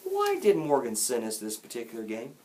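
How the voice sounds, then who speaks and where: A man voicing an earnest, puzzled young boy musing quietly to himself in a children's storybook. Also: mid-range voice, huge pitch swings, fairly quick, slight background noise. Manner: hushed, musing asides. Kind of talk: children's storybook read-aloud.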